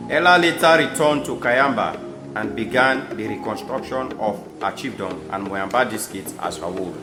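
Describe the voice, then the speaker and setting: A young male narrator with a mid-pitched voice. He reads a scripted life story in a formal, measured manner, as in an audio drama. Music plays clearly behind his voice. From Sierra Leone. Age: young adult.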